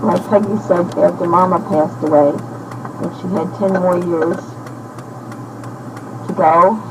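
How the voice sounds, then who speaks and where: Older woman in her 60s, slow rambling diary talk, old hissy cassette tape. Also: lowish voice, fairly even intonation. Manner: slow and halting, many pauses and fillers. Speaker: woman recording a personal audio diary.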